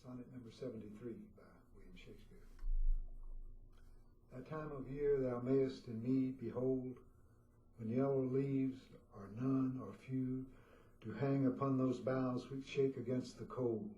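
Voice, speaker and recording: A man's voice: medium pitch, fairly even intonation, a little slow, mellow. A man reciting a poem in a played-back recording. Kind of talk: poetry recitation. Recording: dull, echoey, distant played-back clip.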